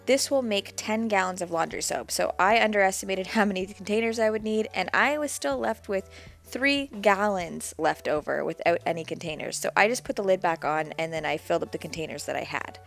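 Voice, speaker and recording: A woman's voice: mid-range voice, fairly quick, gravelly, a bit bright, faint music behind. A woman in her twenties giving a plain, practical step-by-step voice-over for a homemade cleaning recipe. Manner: plain, practical, walks through each step. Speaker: DIY homesteading vlogger.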